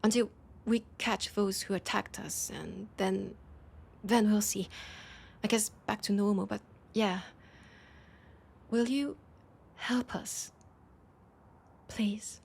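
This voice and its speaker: A woman playing a proud but desperate vampire leader in an intimate audio drama, with a French accent and a halting, breathy delivery that slips toward whispers. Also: medium pitch, a little mellow, heavily acted. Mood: pleading, ashamed. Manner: hesitant, halting, breathy asides dropping into whispers.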